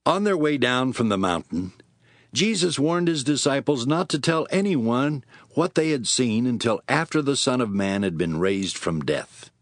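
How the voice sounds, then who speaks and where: A man's voice: medium pitch, sing-song, moderate pace, dry recording, studio quality. A man giving scripted narration of scripture as the narrator of an audio drama. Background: music.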